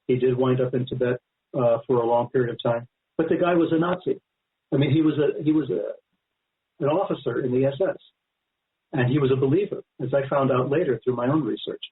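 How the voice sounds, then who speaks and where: Male interview guest on a thin phone-like line, medium pitch, conversational and emphatic, prone to digressions. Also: fairly quick. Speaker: guest author. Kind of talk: radio interview, extended explanation. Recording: narrow-band phone-like line.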